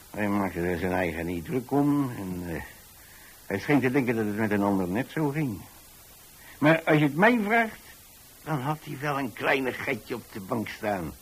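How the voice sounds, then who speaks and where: Husky, rambling old landlord. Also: male voice, mid-range voice, rough recording. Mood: chatty, tolerant. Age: older. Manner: folksy, hesitant, repeats himself. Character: rambling, tolerant retired landlord telling of his lodgers. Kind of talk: radio drama monologue, colloquial storytelling.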